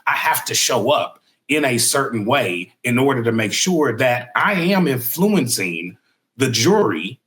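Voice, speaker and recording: A middle-aged man hosting a podcast interview, talking casually and acting out quotes in a lively, raised voice. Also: medium pitch, a little slow. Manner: animated, acts out quotes in a raised voice. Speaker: podcast host.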